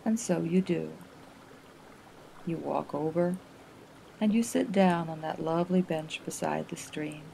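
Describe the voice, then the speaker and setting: A woman narrating a guided relaxation exercise in a gentle, soothing manner, with unhurried phrasing and pauses. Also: low voice, vocal fry, a little hiss or hum, low-quality recording.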